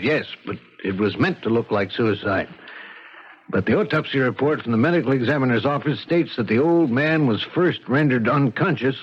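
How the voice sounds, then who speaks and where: Casting: plainspoken homicide detective, mid-pitched rough voice, unhurried and folksy, old radio mystery. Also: male voice. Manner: folksy, matter-of-fact, deliberate. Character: steady, plainspoken homicide police lieutenant, pipe smoker. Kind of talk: 1970s radio mystery acting. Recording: dull vintage 1970s radio broadcast.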